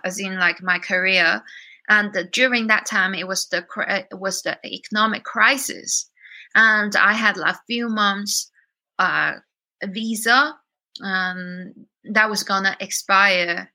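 A woman speaks with a Chinese accent mixed with some British. Her voice is strikingly bright and sharp, at a middle pitch. She tells her own story as an interview guest, earnest and emphatic. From China. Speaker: singer-songwriter, interview guest. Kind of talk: candid personal storytelling in an interview.

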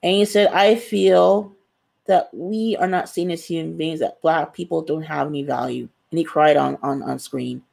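A woman's voice: deep voice, fairly even intonation, moderate pace, vocal fry, a little mellow. A woman author answering interview questions in an earnest, emphatic way, repeating phrases for stress. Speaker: author, guest.

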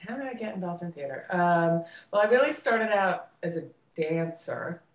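A woman's voice: on the low side, animated, normal speed. An American woman telling her life story in a casual interview, reflective and often correcting herself as she goes. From United States, suburbs of Washington DC, then California. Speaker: interview guest, theater actress and director. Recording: roomy, echoey sound.

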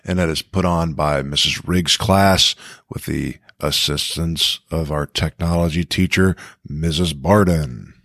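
A man's voice: very deep voice, measured pace, a bit bright, no echo. A man hosting a casual school podcast, encouraging his co-hosts and prompting them with questions. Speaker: podcast host.

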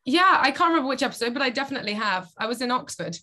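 A woman, co-hosting a casual podcast, her voice tired and a little croaky. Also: high-pitched, animated, racing, pushed and bright. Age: 20s. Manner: chatty, reflective, quotes her own inner thoughts. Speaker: podcast co-host. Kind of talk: casual chat.

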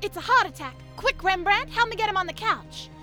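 A woman playing a brisk, bossy private detective in a 1950s radio drama style. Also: very high voice, expressive, pushed and bright, faint music behind, exaggerated voice. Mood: urgent.

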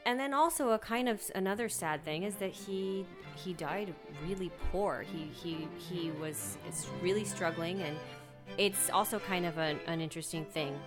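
A woman's voice: lowish voice, moderate pace, music in the background. A woman speaking as a guest in a conversational interview, reflective and earnest, thinking aloud as she goes.

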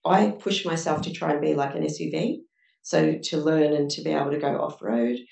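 A woman with a low, gravelly voice gives earnest advice on a panel, working through examples. She is recorded from some distance in a roomy, echoing event space. The sound has a live-event feel. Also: brisk, darkish tone. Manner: earnest, advisory, speaks in examples. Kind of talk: panel discussion answer. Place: event room with audience. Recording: echoey room from a distance.

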